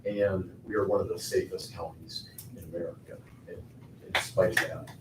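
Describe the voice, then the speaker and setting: An older man giving a halting public comment at a county board meeting, with many fillers and restarts. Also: low-pitched, little pitch movement. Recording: echoey hall, far from the mic, faint noise.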